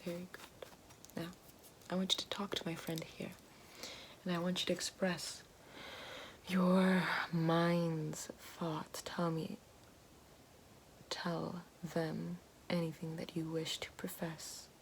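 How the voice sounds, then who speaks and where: A woman speaking softly as an ASMR host guiding the listener, slow and hushed, often slipping into a whisper. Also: lowish voice, flat delivery, gravelly, slight background noise, rough recording. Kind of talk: soft-spoken ASMR roleplay, guiding a grounding exercise.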